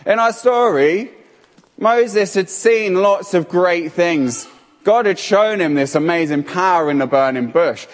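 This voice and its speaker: Animated, playful male preacher in a hall. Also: sits high, unhurried. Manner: playful, animated, calls on people. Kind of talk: interactive sermon. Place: church hall. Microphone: handheld microphone. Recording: hall reverb.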